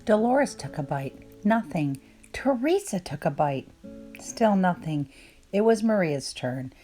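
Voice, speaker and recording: A woman reads a children's story aloud in a fairly low voice. Her intonation moves around a lot, with measured storytelling delivery. Guitar music plays softly behind her. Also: very animated. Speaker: children's book reader. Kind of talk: expressive storybook read-aloud narration. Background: plucked guitar music.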